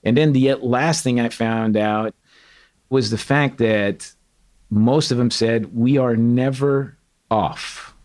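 A middle-aged man from Portugal, a retired sergeant major, telling candid stories in an interview with an earnest, animated manner. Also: medium pitch, slow pace. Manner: earnest, animated, quotes others in dialogue. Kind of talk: candid storytelling.

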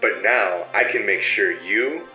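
An actor playing a cold, secretive male agent who edits code with his powers, speaking calmly and dismissively in an audio drama. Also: lowish voice, measured pace, roomy sound, faint music behind, rough recording. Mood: smug. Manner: calm, dismissive, condescending.

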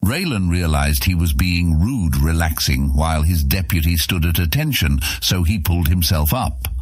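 Very deep, slightly husky male storyteller. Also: lively intonation, moderate pace, no echo, clean studio sound. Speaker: audiobook narrator. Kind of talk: scripted fantasy story narration.

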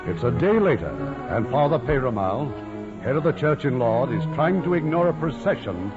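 A man hosts a vintage radio play with scripted narration. His mid-range voice is lively, set over loud music on a dull old broadcast. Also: medium pitch, moderate pace. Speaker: producer and host.

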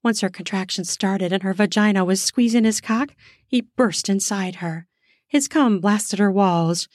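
A woman narrating an erotic story in an unhurried, intimate reading. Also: medium pitch, darkish tone, no room sound, clean studio sound.

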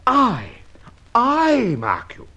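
An actor playing a flustered, irascible police inspector in an old radio drama, stammering and repeating himself. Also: male voice, very high-pitched, wildly swooping pitch, drawn-out words, gravelly, bright, forceful tone, breathy edge, exaggerated voice. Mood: exasperated. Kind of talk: mid-century radio drama acting.

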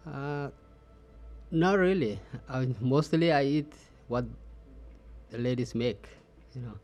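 A middle-aged man from Ethiopia who grew up speaking Oromo talks in an interview. He answers in short, halting phrases and often pauses on "uh". His voice sits at an ordinary male pitch, with light music underneath. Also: darkish tone. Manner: halting, frequent "uh" fillers, simple phrasing. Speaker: interview guest.

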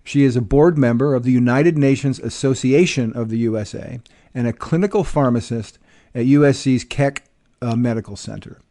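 A man hosting a podcast, reading a guest's bio in a deliberate, measured way. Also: mid-range voice. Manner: warm, deliberate, reads bio aloud. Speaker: podcast host. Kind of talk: podcast introduction.

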